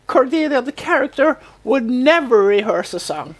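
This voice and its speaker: A woman co-hosting a podcast, chatting informally about a TV show and explaining things in a halting, conversational way. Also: high-pitched, lively intonation. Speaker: podcast co-host. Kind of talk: casual chat about a TV show.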